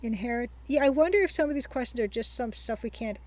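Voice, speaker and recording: A young woman hosting a game playthrough, her voice sitting a little high as she chats casually. She thinks aloud and reads her notes back slowly. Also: expressive, darkish tone. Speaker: let's-play host. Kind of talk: casual let's-play commentary.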